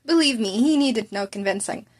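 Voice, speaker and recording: A woman's voice: on the high side, expressive, normal speed. A woman voicing a cheeky, breezy teenage schoolboy in an amateur story reading. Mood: nonchalant.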